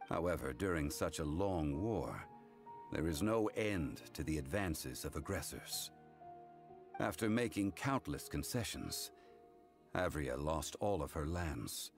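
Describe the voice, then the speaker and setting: A grave calm game consultant, really deep n slow, formal measured way of talking. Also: male voice. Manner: formal, measured, solemn delivery. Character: calm, grave, worldly male consultant recounting hard truths. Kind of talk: English video game voice acting.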